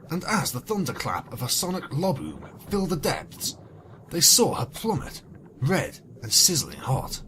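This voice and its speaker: A man's voice: a little high, fairly bright tone, slight background noise. A man reading fan fiction as a narrator, theatrical, with sarcastic, wry asides. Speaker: narrator and commentator.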